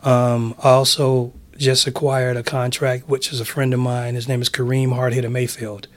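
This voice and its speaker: A middle-aged man speaks in a raspy, mid-pitched voice. He talks like a relaxed interview guest, casual and full of easy slang, with a chill manner. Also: moderate pace. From San Francisco, USA. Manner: laid-back, chill, slangy. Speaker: interview guest, public address announcer.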